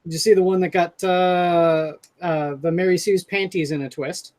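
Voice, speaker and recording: A man with a high-pitched voice in a relaxed podcast conversation. He speaks casually, as one co-host among several. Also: moderate pace. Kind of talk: casual chat.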